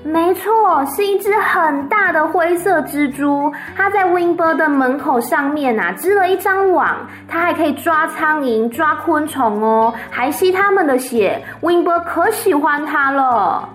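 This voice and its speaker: Woman reads a cheerful little farm girl: high, lively, matter-of-fact. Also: measured pace, few pauses. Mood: eager. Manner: bright, eager, matter-of-fact.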